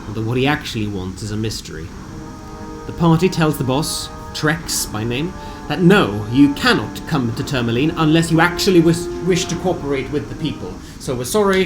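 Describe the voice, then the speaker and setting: A man reading a scripted fantasy game recap as a storyteller, with deliberate, theatrical phrasing. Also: on the high side, rough recording. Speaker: narrator of a tabletop game session recap. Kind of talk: scripted dramatic storytelling narration. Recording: music bed behind the voice. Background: background music.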